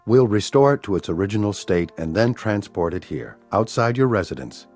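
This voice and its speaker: Husky, courteous alien leader. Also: male voice, fairly low pitch, very animated, darkish tone. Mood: polite, ominous. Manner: formal, smoothly polite, measured. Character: courteous, evasive, faintly sinister male alien leader. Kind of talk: radio drama acting. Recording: music under the voice.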